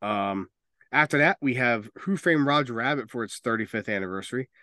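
A man with a mid-pitched voice hosts a casual movie podcast. He speaks informally and with strong opinions, swearing freely. The sound is close and dry. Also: expressive, fairly quick, bright, forceful tone, dry recording. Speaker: podcast host.

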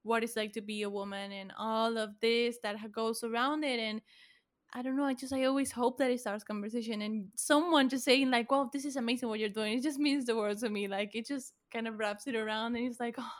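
A woman's voice: fairly high pitch, fairly even intonation, fairly quick. A woman hosting her podcast, chatting casually with guests in a self-deprecating, giggly way. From Argentina. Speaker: podcast host.

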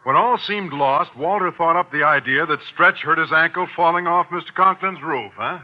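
An actor playing a mild, earnest male schoolteacher with a polite, halting manner in a 1950s radio sitcom. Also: fairly high pitch, close to an everyday voice. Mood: mild, deflated. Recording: dull, hissy old radio recording.

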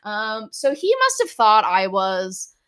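A woman's voice: mid-range voice, very animated, slow pace, a bit bright, clean tone. An American woman in her twenties, a podcast co-host telling stories in a chatty, sarcastic way. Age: 20s. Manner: chatty storyteller, sarcastic asides. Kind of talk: casual chat.

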